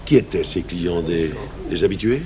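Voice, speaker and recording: Seasoned police commissioner, very low voice, terse and unhurried questioning, vintage radio crime serial. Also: male voice, animated, dark tone. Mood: probing, measured. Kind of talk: 1980s French radio crime drama acting. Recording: faint noise, old radio broadcast recording.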